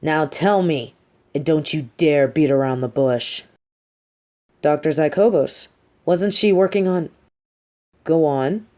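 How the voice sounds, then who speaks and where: Woman acting a slow, mellow-voiced female supervillain. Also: low-pitched. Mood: curt, scheming. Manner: slow, deliberate, condescending, theatrical. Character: female supervillain, coolly menacing, manipulative yet sympathetic. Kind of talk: scripted audio roleplay addressed to the listener.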